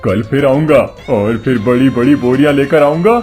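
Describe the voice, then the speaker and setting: An actor playing a greedy, excitable woodcutter in a cartoon story, talking loudly and scheming. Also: male voice, medium pitch, normal speed, soft background music, close to an everyday voice. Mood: greedy excited.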